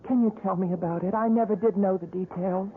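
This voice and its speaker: A woman's voice: mid-range voice, fairly even intonation, fast talker, narrow, tinny audio, lightly acted. Actress as earnest woman, noisy old radio. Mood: pleading, wistful. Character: earnest woman friend, pleading to hear details. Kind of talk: old-time radio drama acting.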